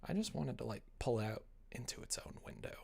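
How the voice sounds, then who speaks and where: A man chatting casually on a livestream as he works, rambling and self-deprecating, often muttering to himself, with a gravelly, creaky voice. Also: mid-range voice, expressive, fairly quick, darkish tone. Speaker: live streamer, game developer. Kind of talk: casual livestream chat while working on screen.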